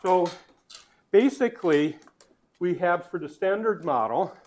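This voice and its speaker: A man giving a university physics lecture, thinking aloud as he writes, with fillers, restarts and swings in loudness. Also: high-pitched, expressive, measured pace, a little hiss or hum. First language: not Dutch.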